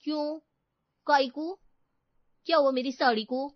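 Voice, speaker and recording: A woman acts out a cocky housemaid from a comic storybook tale, pitched high. She talks in cheeky street slang, showing off with total confidence, in a dry, slightly dull recording. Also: fairly even intonation, unhurried, no room sound. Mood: defensive. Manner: cheeky, colloquial street-style Hindi with English words. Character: sassy, overconfident working-class housemaid woman, boastful.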